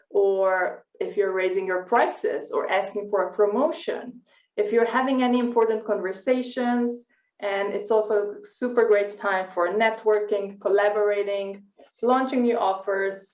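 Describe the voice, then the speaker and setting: A woman's voice: medium pitch, darkish tone, distant mic. A woman co-hosts a live online workshop, speaking in an upbeat, flowing way that is full of fillers. Her voice comes through muffled and dull. She sounds set back from the mic in an echoey room. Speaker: workshop co-host.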